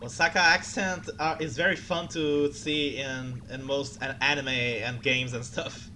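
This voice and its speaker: Excitable male streamer. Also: mid-range voice, unhurried, a little hiss or hum. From Brazil. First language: Portuguese. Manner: excitable, flustered, chatty. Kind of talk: live game commentary and chat.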